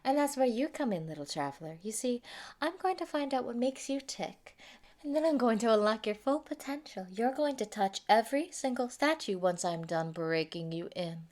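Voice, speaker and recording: A woman's voice: on the high side, expressive, moderate pace, a little mellow, natural voice. A woman voicing a sadistic, gleeful female mad scientist who taunts and gloats over a captive in a theatrical roleplay monologue. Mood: scheming, commanding. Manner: taunting, gloating, giggly, swinging into whispers. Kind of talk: theatrical villain monologue, fan roleplay audio.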